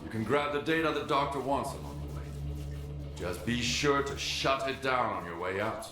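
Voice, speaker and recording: A man acting an embittered, defiant loner in a video game cutscene, speaking in a measured, cynical, scornful way. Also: medium pitch, expressive, close to an everyday voice. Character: embittered, defiant male loner of a forbidden zone. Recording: roomy, echoing game audio.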